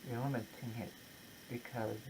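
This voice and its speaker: A content creator talking casually and personally, in a halting, reflective way with short broken phrases. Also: mid-range voice, fairly even intonation, noisy background. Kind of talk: casual personal vlog, opinion talk to camera.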